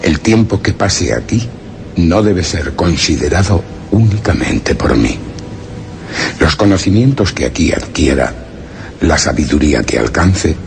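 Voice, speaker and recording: A man's voice: low-pitched, creaky, full character voice. An actor playing a solemn, wondering explorer who narrates his journal in a radio drama, grave and theatrical. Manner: grave, literary, theatrical, with rhetorical questions. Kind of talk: Spanish radio drama suspense monologue.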